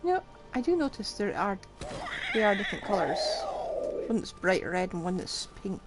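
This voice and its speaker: A woman's voice: medium pitch, sing-song, moderate pace. A woman giving casual live commentary while streaming a game, chatty with dry asides. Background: game music.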